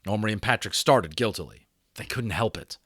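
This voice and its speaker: Expressive low-voiced male storyteller. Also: husky, no echo. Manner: expressive with theatrical pauses. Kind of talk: dramatic audiobook reading. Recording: dry close recording.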